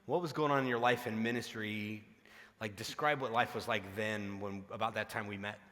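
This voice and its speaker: A man's voice: on the low side, fairly even intonation, moderate pace, pushed and bright. A man speaking as a pastor hosting a conversational interview, informal and a little meandering. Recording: reverberant large hall.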